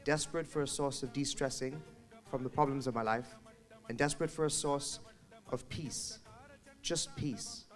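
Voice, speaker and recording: A young man on a hall stage sharing his own story of anxiety into a handheld mic, earnest and reflective. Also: mid-range voice. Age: young adult. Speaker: student speaker. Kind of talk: personal testimony at a panel.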